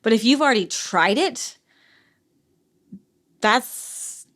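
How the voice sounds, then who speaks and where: Soft, halting woman with frequent sighs. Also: on the high side, animated. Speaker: podcast guest. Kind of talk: personal storytelling in conversation.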